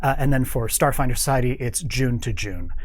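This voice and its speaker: A man with a mid-pitched voice talks as a guest in a casual studio interview. He explains things thoroughly and informally. The sound is close and dry, with very little room. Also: brisk, no echo. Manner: explanatory, detailed. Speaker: guest, game organized-play developer.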